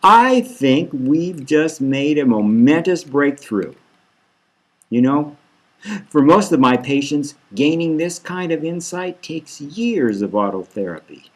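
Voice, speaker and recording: Smug male therapist played by a man, patronising, falsely soothing, deliberate, husky, swooping intonation, satirical audiobook. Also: a little high, animated. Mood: self-satisfied. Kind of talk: satirical audiobook novel reading.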